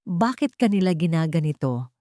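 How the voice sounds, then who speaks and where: A woman's voice: on the low side, sing-song, normal speed, dark tone, no room sound. Authoritative male protector, wealthy and self-possessed, for dramatic fiction, read by a woman, dry close sound. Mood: concerned, hesitant. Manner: controlled, authoritative. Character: commanding wealthy businessman, coldly authoritative protector.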